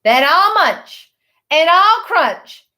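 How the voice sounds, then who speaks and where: A woman voicing a big bad male shark from a children's storybook, a hungry bully chanting loud, drawn-out threats. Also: very high-pitched, sing-song, pitched above the performer's own voice, exaggerated voice. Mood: threatening. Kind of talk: children's storybook read-aloud.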